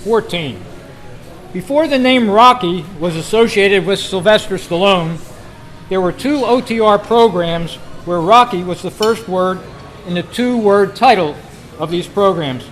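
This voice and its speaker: High-pitched man reading quiz questions. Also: a bit bright, a little hiss or hum, rough recording. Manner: deliberate, repeats each question. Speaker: quiz host at a club meeting. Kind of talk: reading out trivia questions to a group.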